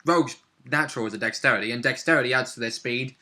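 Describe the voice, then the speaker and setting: A man talks casually about game tactics as a player. His voice is bright and forceful. He is animated, voicing his thoughts as little acted asides. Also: mid-range voice, fast. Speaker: player in a tabletop role-play group.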